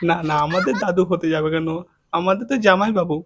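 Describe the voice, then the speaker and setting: A man with a high-pitched voice works a prank call. He chats casually and needles the listener with mock-lovesick teasing. The recording is home-made, with clear noise and clicks. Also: fast talker. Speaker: prank-call video host.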